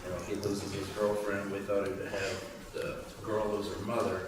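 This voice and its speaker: A man's voice: lowish voice, level tone, moderate pace. A man explaining his script casually as a writer on a panel. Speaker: screenwriter. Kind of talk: panel question-and-answer, casual. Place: indoor room at a table read event. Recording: distant, very echoey, noisy room.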